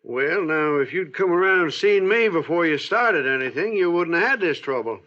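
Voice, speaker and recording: Smug old west constable, sharp edgy voice, folksy but threatening, muffled old radio show. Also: male voice, a little high, lively intonation. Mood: challenging. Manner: folksy, drawn-out, veiled menace. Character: smug, hostile small-town male constable of the Old West. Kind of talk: 1940s-50s western radio drama acting.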